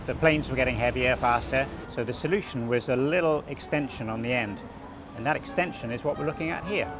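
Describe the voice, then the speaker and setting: A man around sixty, originally from Wales, calmly explaining local history and his website as an interview guest, with small restarts. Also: mid-range voice, fairly quick, music in the background. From southwest Wales. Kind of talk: relaxed conversational explanation.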